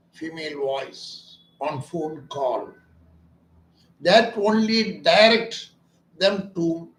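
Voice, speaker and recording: A man speaks as a doctor, slow and deliberate, with a fairly high voice for a man. He is earnest and emphatic, stressing his points and turning them into questions, and the room around him sounds echoey. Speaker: ENT doctor treating voice disorders. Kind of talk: explanatory talk about a clinic's treatment.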